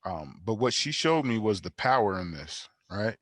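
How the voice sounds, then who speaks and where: A man with a fairly deep voice is recorded very dry. He reflects on himself in a measured, thoughtful way, now and then tagging a phrase with a check-in. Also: big pitch swings, fairly bright tone, no echo. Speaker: monologuist on a panel. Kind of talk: reflective personal testimony.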